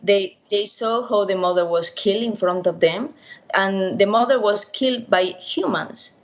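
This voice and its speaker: A middle-aged woman with a Spanish accent, a veterinarian answering interview questions haltingly over an internet call. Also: medium pitch, lo-fi sound. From Spain. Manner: halting, many restarts and fillers. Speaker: veterinarian and primatologist guest. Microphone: video call.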